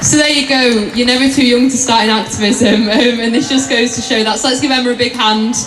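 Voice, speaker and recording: A woman's voice: on the high side, steady tone, a little fast. Chatty woman hosting an echoey rally. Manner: chatty, encouraging. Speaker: rally host. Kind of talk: informal event introductions. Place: outdoor city centre rally. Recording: echoey outdoor PA, crowd and noise.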